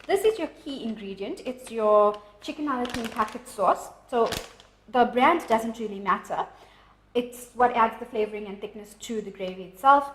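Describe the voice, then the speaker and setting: A woman's voice: on the high side, moderate pace. A South African woman in her thirties, a guest cook explaining her recipe step by step with casual fillers. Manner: warm, explains steps with fillers. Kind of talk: casual cooking demonstration. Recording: echoey kitchen with background noise.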